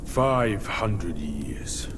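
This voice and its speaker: An actor playing a proud, bitter old monk ghost in a video game, speaking in a hushed, slow, whispery way. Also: male voice, deep voice, lively intonation, very noisy, full character voice. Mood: brooding. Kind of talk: video game cutscene acting. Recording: game audio with loud music.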